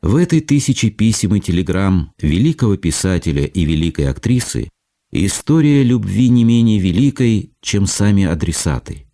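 A man's voice: low voice, very animated, normal speed, warm tone. A man giving a solemn, declamatory scripted narration.

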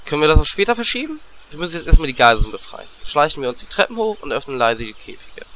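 A brash, lecherous adventurer played by a man in a comic fantasy radio play. His voice is fairly high, forceful and very animated, with a cheeky, excitable delivery. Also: fast, slight background noise, full character voice. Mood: businesslike. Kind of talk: parody fantasy audio drama.

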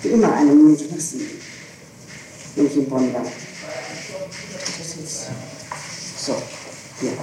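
A woman's voice: extremely low pitch, slow pace, pauses often. Woman testifying on a very echoey old tape. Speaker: suspect under questioning. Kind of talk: police interrogation testimony. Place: reverberant room, far from the microphone. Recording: echoey, distant, noisy archival tape recording.

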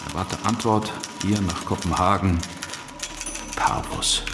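Low-voiced scheming dealmaker, echoey hall. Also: male voice, slow, background noise. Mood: brisk, smug. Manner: clipped, brash, self-assured. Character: confident scheming male political dealmaker dictating urgent messages. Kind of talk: German radio play acting. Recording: echoey hall, long reverb.

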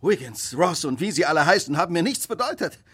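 Brooding genius detective in a radio play, husky highish voice, intense n clipped, swings all over. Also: male voice, expressive, fast talker, a bit bright. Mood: anguished, self-accusing. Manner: intense, commanding, clipped deductive delivery. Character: brilliant brooding detective, driven by guilt and fierce resolve. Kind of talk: radio drama acting.

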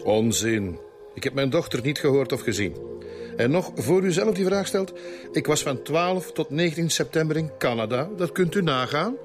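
A man's voice: on the high side, lively intonation, normal speed, over music, lightly acted. An actor playing a defensive, bitter middle-aged father under suspicion in a Flemish radio drama, defiant and insistent.

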